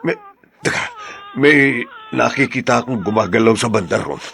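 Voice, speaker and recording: A man acting a warm, decisive farmer husband in a Filipino radio drama, with a slightly husky, creaky voice. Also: medium pitch, moderate pace, a little hiss or hum. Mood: puzzled, alert.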